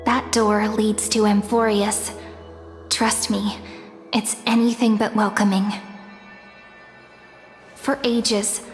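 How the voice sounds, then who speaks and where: Casting: mysterious young woman guardian for anime game, gentle and sincere, high register, slow measured delivery. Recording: echoey long reverb, faint music behind.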